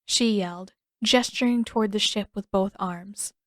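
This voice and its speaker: A woman's voice: medium pitch, normal speed, a bit bright, dry recording. Woman narrating a story, very dry sound. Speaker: audiobook narrator.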